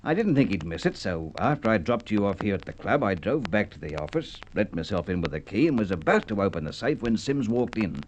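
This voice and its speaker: A man's voice: fairly low pitch, big pitch swings, fairly quick, few pauses. An actor playing an anxious, broke man turned guilty killer, with an Australian accent, in Golden Age radio drama style. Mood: anxious, defensive. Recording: old radio recording with background hiss.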